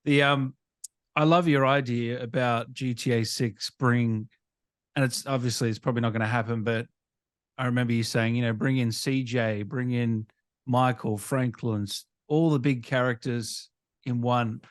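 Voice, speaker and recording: A man's voice: mid-range voice, steady tone, moderate pace, dry recording, clean studio sound. A man hosting a casual interview, speaking informally and agreeably like an enthusiastic fan. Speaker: interviewer, gaming channel host.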